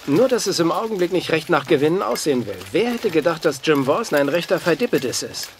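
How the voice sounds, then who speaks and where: Learned grumbling man, slightly high voice. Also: animated, fairly quick, a little hiss or hum. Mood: worried, dry. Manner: dry, wordy, mannered. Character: learned, grumbling, anxious male betting companion. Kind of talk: German audio drama acting.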